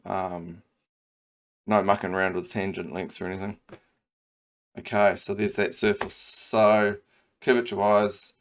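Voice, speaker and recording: A man with a New Zealand accent explains a design workflow over a screen recording. His voice sits low and stays fairly flat. He talks casually and thinks out loud, padding his sentences with ums and half-finished restarts. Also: unhurried, long pauses, slight background noise. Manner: relaxed, thinking aloud with many ums and restarts. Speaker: CAD design tutor. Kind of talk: screen-recorded software tutorial.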